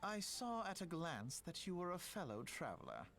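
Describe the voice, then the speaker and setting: A man's voice: on the high side, wildly swooping pitch, normal speed, gravelly, a bit bright, soft background music, full character voice. A man voicing a polite, earnest young male scholar in a video game, making courteous, formal introductions.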